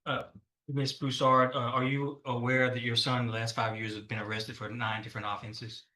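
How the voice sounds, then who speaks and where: A man speaking as a prosecutor in court, formal and somewhat halting as he addresses the room. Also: medium pitch, flat delivery, pushed and bright. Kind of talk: courtroom questioning and argument.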